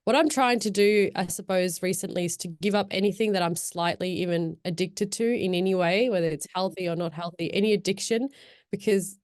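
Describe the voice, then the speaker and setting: A woman's voice: lowish voice, fairly quick, darkish tone, no room sound, studio quality. A young woman from Australia, born in Pakistan to Afghan parents, talking as a podcast guest about her faith and reading, thoughtful and rambling, with many fillers. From Afghan, born in Pakistan, raised in Australia. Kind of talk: casual reflective chat.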